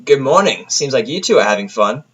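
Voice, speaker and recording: A man's voice: mid-range voice, big pitch swings, a little fast, fairly bright tone, slightly rough, pitched above the performer's own voice, rough recording. A man voicing an easygoing, friendly female pony in an audio story, speaking casually.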